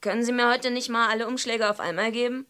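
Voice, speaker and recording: A woman's voice: on the high side, steady tone, fast, bright, forceful tone, clear, resonant tone. A woman playing a tough young errand runner in a radio drama, terse, offhand and unimpressed.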